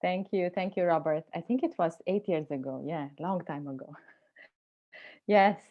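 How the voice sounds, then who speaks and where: A woman in her forties moderating an online meeting from a bright room at home, speaking warmly with lots of hesitations into an earphone mic. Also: lowish voice, measured pace, highs cut off. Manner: warm, hesitant, many fillers. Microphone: earphone mic on video call.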